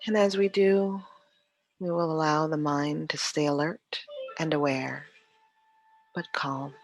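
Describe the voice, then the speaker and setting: A yoga teacher leading a guided meditation in a calm, soothing, unhurried way with long pauses. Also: mid-range voice, no echo, faint music behind.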